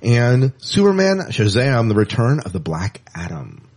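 A man's voice: medium pitch, huge pitch swings, unhurried, darkish tone. A man co-hosting a podcast in a casual, chatty style, with wry asides and words repeated for emphasis. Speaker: podcast co-host. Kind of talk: casual show rundown and banter.

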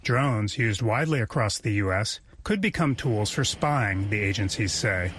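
A man's voice: lowish voice, expressive, moderate pace, fairly bright tone, slightly rough. Male reporter narrating a news story.

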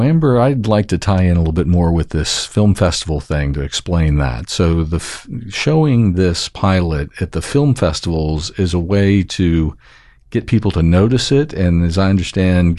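Deep, gravelly male host, dry sound. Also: animated, normal speed, dark tone, no echo. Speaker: podcast host. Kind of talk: interview hosting.

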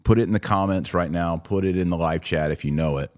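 A man with a low-pitched voice hosts a podcast. He comments casually and conversationally, thinking aloud as he goes. The sound is dry, with hardly any room in it. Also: quick pace, no room sound. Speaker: podcast host. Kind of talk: casual commentary on a video clip.

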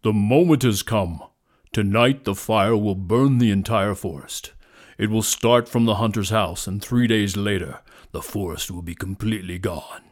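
A man voicing a mighty lion, king of the forest, with a deep, powerful, booming voice and solemn authority. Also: lightly acted. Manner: solemn, slow, authoritative proclamations.

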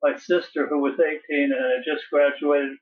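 A man in his eighties from Connecticut, an interview guest who speaks haltingly and repeats his words. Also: on the high side, level tone. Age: 80s. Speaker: poet and guest. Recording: distant tinny phone line.

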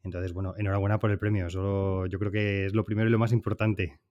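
A man's voice: sits low, flat delivery, fast, muffled recording. A man hosting a podcast interview, friendly and chatty, introducing and prompting his guest and laughing easily. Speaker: podcast host.

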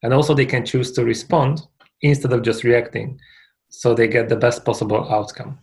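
A man from Hungary talks through his story as a podcast guest. His voice has a gravelly, creaky edge at a medium pitch, and he keeps things relaxed and chatty. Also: moderate pace. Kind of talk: casual interview answers.

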